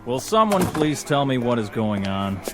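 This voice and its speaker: A man playing a gruff, impatient airport operations chief in a television drama, blunt and commanding. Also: medium pitch, big pitch swings, normal speed, music in the background, natural voice. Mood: tense, incredulous.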